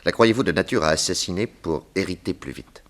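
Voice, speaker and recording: An actor playing a shrewd, authoritative private detective with dry irony in a 1960s radio drama. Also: male voice, low voice, fairly quick, fairly bright tone. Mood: probing.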